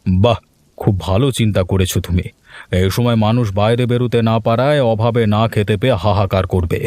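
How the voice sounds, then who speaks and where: A man voicing a kindly village headman in a cartoon, calm, fatherly and reassuring. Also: on the low side, fairly quick, dry recording, natural voice. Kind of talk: cartoon voice acting.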